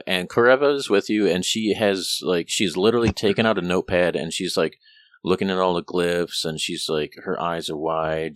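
A man's voice: low voice, dry recording, studio quality. A man narrating as game master in a casual, rambling way, full of fillers and restarts. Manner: rambling, hesitant with many fillers.

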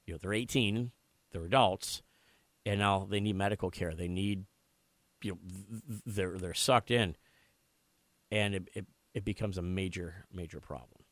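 American male talk radio host, lower-pitched voice, close dry studio sound. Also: moderate pace, fairly bright tone, no room sound. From United States. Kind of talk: live talk radio, discussion and guest interview.

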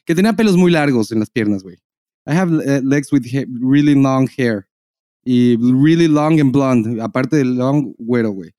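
Mexican male podcast host: mellow, dry close studio sound, slangy and profane. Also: mid-range voice, normal speed, no room sound. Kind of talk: casual unscripted podcast banter.

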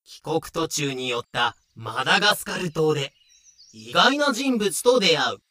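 A man's voice: a little high, very animated, unhurried, pushed and bright, no room sound. A man giving scripted, comedic narration for a pirate audio drama.

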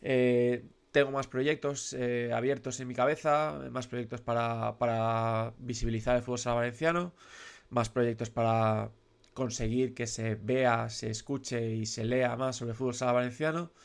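A man's voice: medium pitch, steady tone, unhurried, vocal fry, smooth. A man in his thirties hosting a radio show, nasal and congested from a cold, rambling with many fillers and restarts. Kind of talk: casual radio talk.

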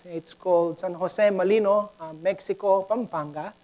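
A woman with a Filipino accent preaching in a halting way, often repeating words, moving between prayer and anecdote. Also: fairly low pitch, mellow. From Philippines.

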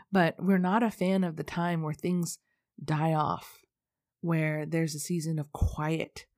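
A woman's voice: deep voice, a little mellow, dry recording, clean studio sound. A woman hosting a reflective solo podcast, earnest and self-deprecating, who laughs often and sounds congested. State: stuffy, congested. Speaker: podcast host.

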